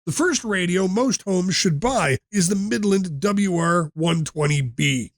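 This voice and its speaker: A man's voice: high voice, animated, measured pace, no room sound, studio quality. A man presenting a scripted product review, speaking in short, direct, instructive sentences.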